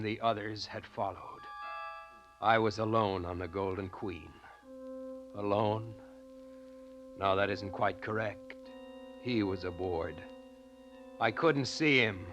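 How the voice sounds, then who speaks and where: Haunted male diver, low and weary, grave brooding delivery over music, vintage radio suspense. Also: slow pace, pauses often, lo-fi sound. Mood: grim, brooding. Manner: grave, brooding narration and terse replies. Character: haunted, weary male deep-sea diver telling his dark tale. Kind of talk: 1940s radio acting.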